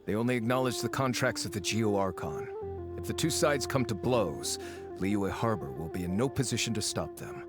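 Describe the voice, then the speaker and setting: Man playing a wry, disdainful faction agent, lively delivery. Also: lowish voice, moderate pace, loud music behind the voice, natural voice. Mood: informative. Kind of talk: video game character acting.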